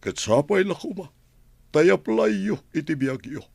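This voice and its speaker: A man with an Ilocano accent playing an earnest, solemn priest who counsels in a measured way in a radio drama. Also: a little high, very animated, creaky, darkish tone, no echo, full character voice.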